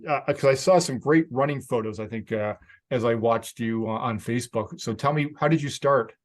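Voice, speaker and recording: A man's voice: medium pitch, normal speed. A man with a Canadian accent hosting a podcast interview, chatty and laughing easily. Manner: friendly, chatty, laughs often. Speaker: podcast host. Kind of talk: casual interview chat.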